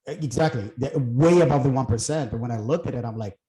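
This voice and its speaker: A man's voice: medium pitch, fast talker, dark tone, reverberant. A middle-aged man from Puerto Rico, a guest talking casually about his work, thinking aloud with fillers and restarts. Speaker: guest, real estate investor. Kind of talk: casual interview chat.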